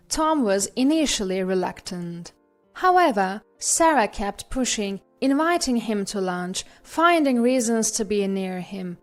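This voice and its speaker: A woman reading a scripted personal story as a narrator, in a measured, deliberate storytelling manner. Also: mid-range voice.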